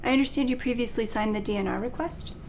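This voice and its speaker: An actor playing a woman doctor who speaks to patients in a calm, reassuring, clinical way in a training dramatization. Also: fairly high pitch, fast talker, slight background noise, natural voice. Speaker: physician in a role-play.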